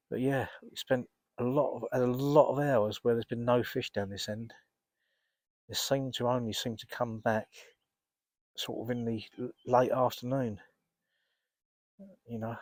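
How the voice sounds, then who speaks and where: Bunged-up guy rambling on his fishing vlog by the lake, dry close sound, keeps clearing his throat. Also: medium pitch, a little mellow, no room sound. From England. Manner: rambling, repeats himself, clears throat often. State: congested, blocked up with a cold. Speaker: carp fishing vlogger. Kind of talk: casual chat to camera. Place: outdoors by a lake.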